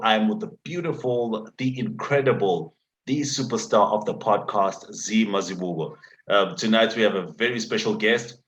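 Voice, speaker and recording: A man's voice: fairly low pitch, fairly bright tone, low-quality recording. A man hosting a podcast in an upbeat way, introducing a guest and often repeating himself. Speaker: podcast host.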